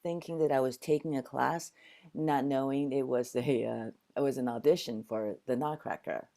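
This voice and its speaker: Low-voiced older woman from Taiwan. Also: fairly even intonation, moderate pace, vocal fry, mellow. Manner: reflective storytelling with frequent restarts. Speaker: guest, dancer and actor. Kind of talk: conversational interview.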